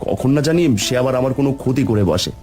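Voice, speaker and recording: A man voicing an honest, hardworking poor fisherman in a cartoon story, gentle but worried. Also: mid-range voice, lively intonation, very fast, over music, natural voice. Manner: warm, worried. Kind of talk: cartoon voice acting.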